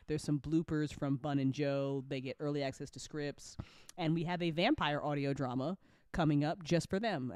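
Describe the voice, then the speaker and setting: A woman's voice: on the low side, smooth, no room sound, studio quality. A woman hosting a casual live chat show, exuberant and slangy, teasing people and swearing freely. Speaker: host of audio drama after show, show creator.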